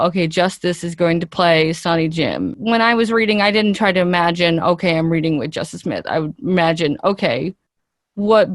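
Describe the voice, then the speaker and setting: A woman's voice: lowish voice, no room sound, studio quality. A woman, an actress, chatting casually and expressively as an interview guest.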